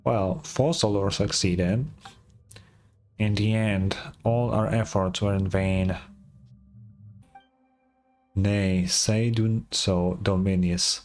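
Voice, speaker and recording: Guy doing old stone golem soldier for a game, low n slow, solemn, tired, talks all archaic. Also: fairly even intonation, darkish tone. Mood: solemn, resigned. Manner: formal, slow archaic speech.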